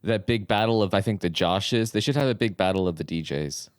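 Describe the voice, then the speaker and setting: A man co-hosting a comedy podcast over a call, a bit raspy from an upset stomach, riffing loosely on pop culture. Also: on the low side, fairly quick, dry recording, clean studio sound. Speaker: podcast co-host. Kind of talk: casual comedic chat. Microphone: remote call.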